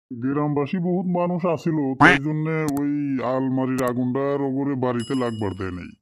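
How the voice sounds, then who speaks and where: A man's voice: mid-range voice, darkish tone, close to an everyday voice. A muffled, lo-fi recording of a sly cartoon henchman played by a man. He fawns and wheedles in a rural Bengali dialect. His manner is greedy and conspiratorial. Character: sly, greedy, fawning male office henchman. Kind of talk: amateur cartoon drama dialogue.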